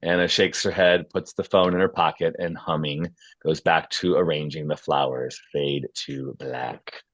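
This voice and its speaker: A man's voice: extremely low pitch, steady tone, measured pace, no room sound. A man speaking as host and narrator of an informal script table read. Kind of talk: stage-direction reading and casual feedback discussion.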